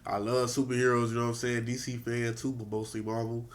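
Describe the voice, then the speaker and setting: A man's voice: medium pitch, flat delivery, fairly quick. Stuffy, congested man with a cold, slangy and laid-back, mid-range voice, reacting on camera. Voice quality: stuffy nasal. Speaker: reaction video host. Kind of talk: casual reaction commentary.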